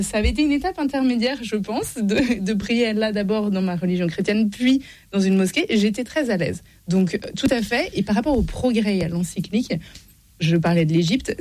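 A woman's voice: medium pitch, warm tone. A woman talking as a guest, reflecting thoughtfully and earnestly. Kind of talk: conversational reflection.

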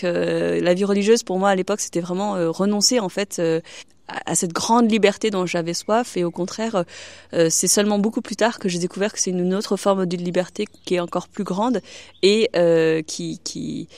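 A woman's voice: on the low side, creaky, darkish tone, dry recording. A young woman from China, a religious sister, speaking hesitantly in a radio interview with many fillers and restarts.

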